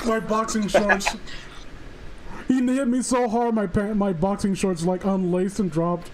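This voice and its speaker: A man chatting casually as a stream co-host, excitable and sweary, stumbling into restarts as he comments. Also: high voice, slow, low-quality recording. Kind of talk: casual banter and riffing on a story. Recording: faint background noise.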